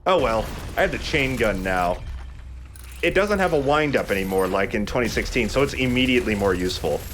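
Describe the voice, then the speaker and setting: A man gives casual commentary as he plays a video game. His intonation swings around in an animated way, and he chats and swears freely. There is faint noise under his mid-pitched voice. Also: brisk. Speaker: let's play host.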